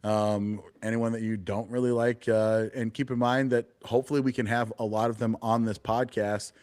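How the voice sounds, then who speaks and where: A man co-hosting a casual political podcast panel, talking in a relaxed, chatty way with plenty of fillers. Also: lowish voice, moderate pace. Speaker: podcast co-host, party committeeman.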